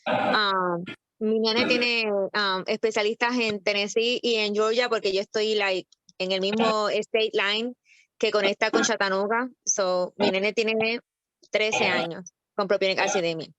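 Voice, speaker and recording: A woman's voice: mid-range voice, fairly even intonation, measured pace, fairly bright tone, dry recording. A woman with a Puerto Rican accent introducing herself as a parent, mixing English words into her Spanish, on a video call. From Puerto Rico. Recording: muffled, dry call audio.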